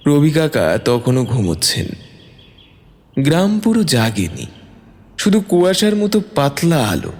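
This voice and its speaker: A man's voice: fairly high pitch, a little mellow. A man narrating a scripted dramatic story as a storyteller. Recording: long reverb, music bed. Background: music.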